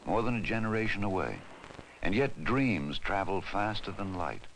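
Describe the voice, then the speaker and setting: A man giving formal, measured scripted narration in the style of a 1960s educational film. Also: fairly low pitch, animated, fairly bright tone, slight background noise, rough recording. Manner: measured, formal, deliberate delivery. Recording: 1960s film soundtrack with music behind. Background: music.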